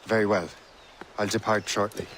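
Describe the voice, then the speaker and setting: An actor playing a self-assured, war-weary sea captain in a video game, with a measured, respectful manner. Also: male voice, fairly low pitch, little pitch movement, a bit bright, a little hiss or hum, lightly acted. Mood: respectful resolve. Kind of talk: video game cutscene acting.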